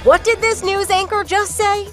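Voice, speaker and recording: A woman's voice: very high voice, fairly even intonation, normal speed, music in the background. A woman doing scripted narration for a TV news magazine.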